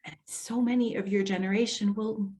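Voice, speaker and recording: A woman's voice: mid-range voice, fairly even intonation, moderate pace, warm tone, natural voice. A woman playing a well-meaning social worker in a stage reading, hesitant, earnest and placating. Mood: cautious concern. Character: well-meaning female elder affairs social worker, apologetic, cautious. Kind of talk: readers theater stage acting.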